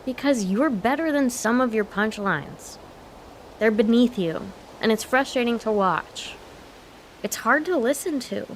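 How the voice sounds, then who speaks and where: A high-voiced young grown daughter in a comedic audio play, with hiss behind her. She is strong-willed, earnest and wry, arguing her case emphatically in a naturalistic, frustrated way. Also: animated, unhurried.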